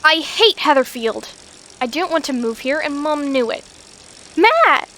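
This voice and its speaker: A woman playing a moody but earnest teenage schoolgirl heroine in a comic fandub, youthful and expressive. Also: high-pitched, measured pace, a little hiss or hum, exaggerated voice. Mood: sulky, awkward.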